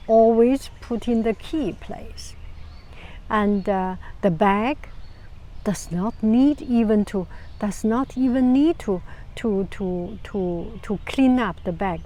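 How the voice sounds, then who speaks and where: A woman with a Chinese accent and a low, soft voice giving calm, casual advice to camera, often restarting phrases and sometimes dropping to a whisper. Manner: calm, unhurried, many restarts. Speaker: vlogger.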